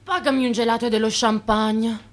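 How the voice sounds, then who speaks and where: Actress as a high-pitched, gushing female flirt. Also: fairly even intonation, fairly quick, lightly acted. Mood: coaxing, gushing. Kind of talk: theatrical radio drama.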